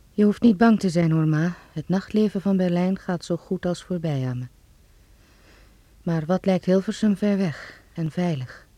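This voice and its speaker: Soft, mellow young son writing home played by a man. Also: sits high, no room sound, lightly acted. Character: young Dutch son writing reassuring letters to mother. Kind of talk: radio drama letter monologue.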